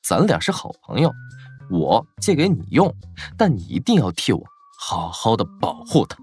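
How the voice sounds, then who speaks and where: It is a man acting a cool, shameless college guy who likes to tease, in an audio drama. The breathy voice swings widely in pitch, with faint music behind. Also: huge pitch swings, moderate pace, a little mellow, exaggerated voice. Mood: playful, teasing. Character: cool, teasing, shameless young male college student.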